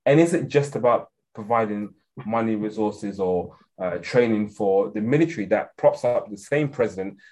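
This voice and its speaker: A man's voice: on the low side, moderate pace, few pauses, a little mellow, poor audio. A man with an English accent answering interview questions as an expert guest, speaking earnestly and thinking aloud with frequent restarts. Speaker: guest expert on African security policy.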